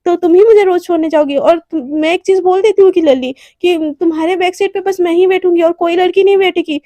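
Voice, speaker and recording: A woman's voice: very high voice, expressive, normal speed, no echo. Woman doing a jealous, bossy young office woman. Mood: demanding. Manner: loud, demanding, sulky.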